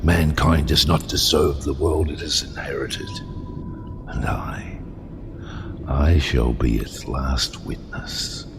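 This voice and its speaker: An actor playing a bitter, vengeful sea captain in an audio drama, delivering a grandiose, menacing monologue over music. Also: male voice, rumbling low, wildly swooping pitch, slow pace, noisy background, heavily acted. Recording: clip with music underneath.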